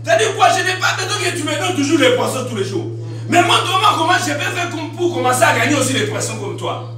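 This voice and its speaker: High bright congolese preacher shouting, far off mic in an echoey hall, music faint behind. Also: male voice, big pitch swings, fast, slight background noise. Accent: Congolese French. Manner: shouting, call-and-response with congregation. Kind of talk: sermon. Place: church hall.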